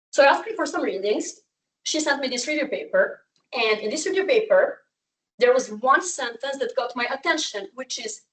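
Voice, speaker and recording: A young woman whose first language is Serbian gives a research talk at a summer school. Her voice sits a bit high, and her delivery is candid and earnest, full of fillers and small restarts. She sounds somewhat distant from the microphone, as in a lecture room. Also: fairly bright tone. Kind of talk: conference talk.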